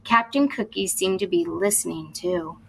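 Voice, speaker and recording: A woman's voice: lowish voice, normal speed, gravelly, fairly bright tone, breathy edge, low-quality recording. A woman reading a children's story aloud expressively, as a teacher would, explaining to young listeners as she goes.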